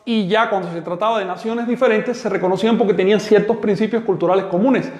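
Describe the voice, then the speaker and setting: A man's voice: sits high, steady tone, fast talker, darkish tone. A man teaching a live online class, answering students' questions in a firm, emphatic lecturing manner. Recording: echoey room with long reverb.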